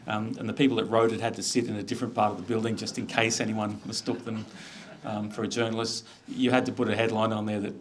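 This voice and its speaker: Australian male executive, slightly low voice, informal presenter explaining things in a conversational way. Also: level tone, fast talker.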